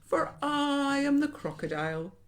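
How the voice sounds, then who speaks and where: A woman voicing a sly, predatory crocodile in a storybook, with a coaxing, drawn-out delivery. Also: high voice, very animated, voice pushed up. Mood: luring. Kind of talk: animated children's storybook character voice.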